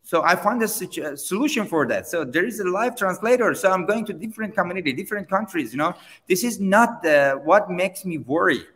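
A man whose first language is Persian, a seminar trainer answering interview questions in halting English with many restarts. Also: high-pitched, long reverb tail. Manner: halting, many restarts, non-native grammar, animated when pressed. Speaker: seminar trainer and company founder.

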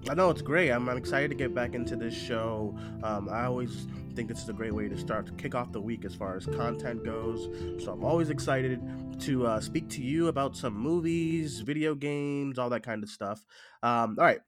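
A man with a stuffy cold hosts a casual podcast, talking in a halting way that often doubles back on phrases. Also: mid-range voice, over loud music. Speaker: podcast host.

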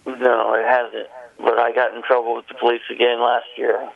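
Gravelly man on a muffled phone line. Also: medium pitch, completely flat delivery, moderate pace. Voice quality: gravelly, husky. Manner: rambling storytelling. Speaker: guest.

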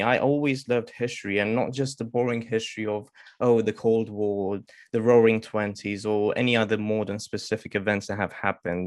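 A man's voice: on the low side, no echo, clean studio sound. A young man, a guest author talking casually and rambling from point to point. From Romania. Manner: rambling, jumps between points. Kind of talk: casual interview talk.

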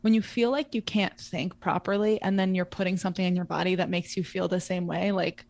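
Twenties woman, podcast co-host, slightly low voice, loose chatty delivery with plenty of fillers. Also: steady tone, a little fast, gravelly, darkish tone. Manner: chatty, filler-heavy, frequent "like". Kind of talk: casual chat.

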